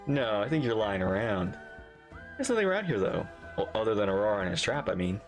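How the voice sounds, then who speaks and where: A woman's voice: a bass voice, big pitch swings, fairly quick, over music. A woman streamer giving casual, chatty, colloquial live reaction commentary on a video game.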